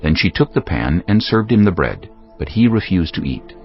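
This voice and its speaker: A man's voice: low voice, sing-song, normal speed. A man solemnly narrating a dramatized scripture story from a script. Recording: slightly dull audio with music bed.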